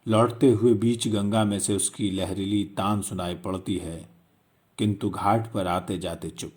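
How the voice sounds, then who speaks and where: A man's voice: on the low side, steady tone, a little slow, a little mellow, breathy edge. A man narrating a literary story in a dramatic storytelling style.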